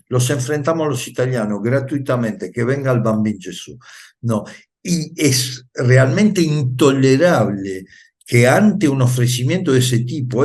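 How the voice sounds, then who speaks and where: A man's voice: medium pitch, darkish tone. A man who grew up in Italy, a guest on a video call, giving emphatic political commentary with repeated phrases and frequent fillers. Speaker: interview guest.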